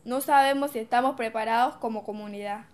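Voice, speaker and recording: A woman's voice: on the high side, a little fast, close to an everyday voice. A woman acting a worried, unsure villager in an educational radio drama.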